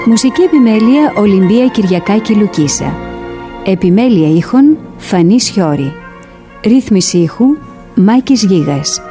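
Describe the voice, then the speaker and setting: A woman's voice: medium pitch, expressive, dark tone. A woman radio announcer reading scripted credits in a formal announcement. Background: music.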